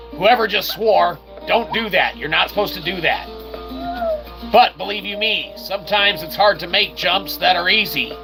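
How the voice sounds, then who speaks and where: A man's voice: high-pitched, gravelly. A man giving casual, joking game commentary in wordy, mock-formal phrases, tossing in playful vocal sound effects. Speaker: host of a gameplay video channel.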